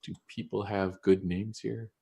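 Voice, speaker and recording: A man's voice: lowish voice, level tone, measured pace, gravelly, mellow, husky. A man running a tabletop game, guiding the players.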